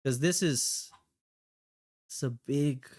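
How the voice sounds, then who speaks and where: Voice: medium pitch, slow pace, a little mellow, slightly rough. A livestream host chatting casually and reacting in a blunt, heavily profane way.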